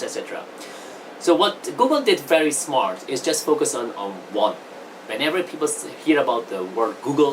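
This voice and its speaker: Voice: medium pitch, recorded from a distance, background noise. A non-native English speaker giving an informal self-improvement lesson as a host, explaining advice didactically and often checking in with the listener. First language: not English. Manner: didactic, checks in with "okay?", "right?".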